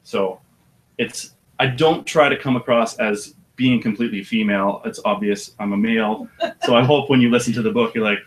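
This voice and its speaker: An audiobook narrator with a deep voice, talking about his work in a relaxed, thoughtful way with some hesitation. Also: normal speed. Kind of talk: casual interview chat.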